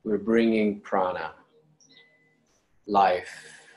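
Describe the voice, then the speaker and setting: A man leading a guided meditation, giving calm, unhurried instructions with long pauses between phrases. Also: lowish voice, poor audio. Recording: clear steady background noise.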